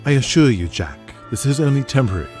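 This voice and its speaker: A man plays a deferential male executive in an audio drama. He speaks formally and politely, always placating the boss, with music clearly underneath. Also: mid-range voice, sing-song, fast, darkish tone, pitched above the performer's own voice, natural voice. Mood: anxious reassuring.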